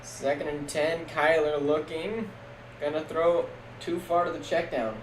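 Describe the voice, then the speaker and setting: A young man streaming casual, jokey play-by-play of a simulated football league, getting loud on big plays. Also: a little high, steady tone, unhurried. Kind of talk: live-stream game commentary. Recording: echoey room with faint background noise.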